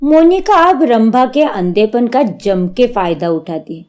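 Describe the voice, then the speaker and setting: A woman's voice: on the high side, expressive, unhurried, darkish tone. A woman narrating a scripted story as a storyteller.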